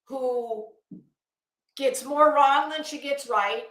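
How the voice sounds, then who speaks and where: A woman's voice: sits high, slow, a bit bright, echoey room. A woman delivering an opinionated online commentary rant, blunt, scolding, emphatic and sweary. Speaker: online commentary video host.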